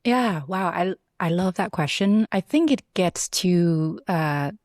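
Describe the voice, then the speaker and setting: A woman from Vietnam, answering interview questions in a reflective, halting, conversational way. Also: fairly low pitch, lively intonation, a little mellow, no room sound, clean studio sound. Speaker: interview guest, product builder and designer.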